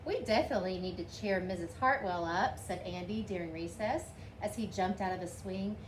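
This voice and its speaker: A woman's voice: medium pitch, moderate pace, roomy sound, low-quality recording. A woman reading a picture book aloud expressively, lifting her voice for the children's lines. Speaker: storybook reader. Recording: faint background noise.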